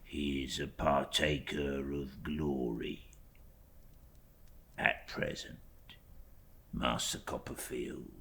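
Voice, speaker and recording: Creaky, very deep, fawning clerk. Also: male voice, fairly even intonation, a little hiss or hum, exaggerated voice. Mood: falsely humble. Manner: slow, obsequious, drawn-out, hushed phrase endings. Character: oily, falsely humble young male law clerk. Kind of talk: audiobook character acting.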